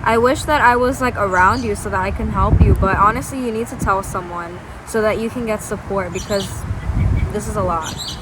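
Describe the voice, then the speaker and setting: A woman's voice: medium pitch, moderate pace. Earnest young woman giving advice over traffic noise. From United States. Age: 20s. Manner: earnest, reassuring, speaks straight to the viewer. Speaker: advice vlogger. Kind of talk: advice vlog, reading viewer emails.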